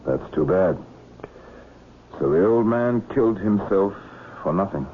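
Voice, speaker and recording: An actor playing a terse, skeptical police inspector in a radio drama, direct and questioning. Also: male voice, sits low, lively intonation, measured pace, a little hiss or hum. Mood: probing. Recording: muffled narrow-band vintage radio recording.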